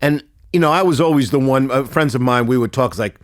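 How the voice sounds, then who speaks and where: A man's voice: medium pitch, quick pace, no room sound. A man, probably in his sixties, with a New York-area accent, chatting animatedly and emphatically as a podcast guest into a close studio mic. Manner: emphatic, talks with his hands. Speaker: podcast guest, recording engineer. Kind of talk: animated anecdotal conversation. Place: wood-panelled recording studio. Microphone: close studio microphone on boom.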